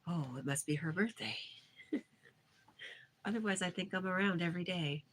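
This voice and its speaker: A woman's voice: lowish voice, normal speed, fairly bright tone, slight background noise. A woman hosting a casual livestream, reading viewer comments aloud and replying in a chatty way. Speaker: livestream host.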